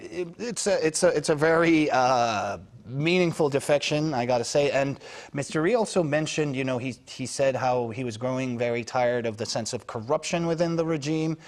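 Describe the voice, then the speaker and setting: Man, foreign news correspondent, panel guest, unscripted analysis, halting delivery full of fillers, average pitch. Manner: halting, many fillers and restarts.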